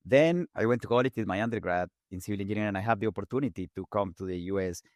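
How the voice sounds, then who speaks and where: A man in his forties with a Colombian Spanish accent talks fast and eagerly, often restarting phrases. His voice sits low. The recording is dry, with no room sound. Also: no echo. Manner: fast-talking, animated, repeats words while restarting. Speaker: guest engineer. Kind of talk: casual interview talk.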